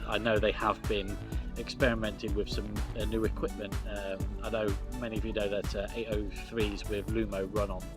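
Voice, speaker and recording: A man, a train guard, giving a casual field update in a hesitant, friendly way with many fillers. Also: on the low side.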